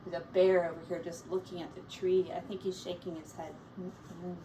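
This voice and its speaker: A woman teacher reading a children's picture book aloud expressively, pausing to explain words and comment on the pictures. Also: fairly low pitch, level tone, mellow. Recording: distant mic with faint background noise.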